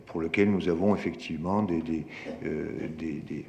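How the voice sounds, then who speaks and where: Low-voiced man in an echoey hall. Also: fairly even intonation, vocal fry, a little mellow, muffled recording. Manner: halting, hesitant delivery. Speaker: corporate panelist. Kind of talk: formal business presentation at a forum. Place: large conference room at a forum. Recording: echoey hall, reverberant, some noise.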